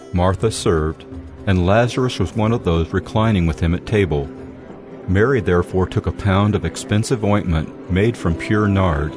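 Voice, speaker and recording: A man giving scripted Bible narration as a measured storyteller. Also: deep voice. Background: music.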